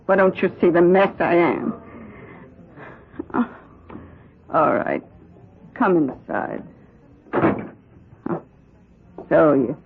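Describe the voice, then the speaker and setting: An actress playing an anxious, tenderhearted middle-aged woman in a vintage radio drama, with a husky, halting delivery. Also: low-pitched, slight background noise, exaggerated voice. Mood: anxious, shaken. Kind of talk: 1970s radio drama acting. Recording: tinny, band-limited old radio broadcast.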